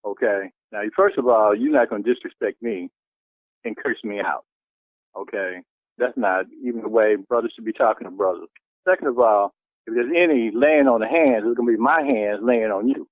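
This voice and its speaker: A man's voice: on the low side, moderate pace, no echo. Indignant man on a tinny phone line. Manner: indignant, repeats himself insistently. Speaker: church deacon receiving a phone call. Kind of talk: heated phone argument.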